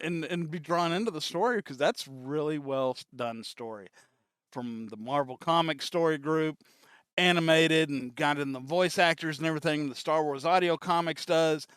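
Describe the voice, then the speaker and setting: A man's voice: fairly high pitch, fairly bright tone, clear, resonant tone, no echo. A man hosting a casual fan reaction, talking informally and in a rambling, appreciative way. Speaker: reaction channel host.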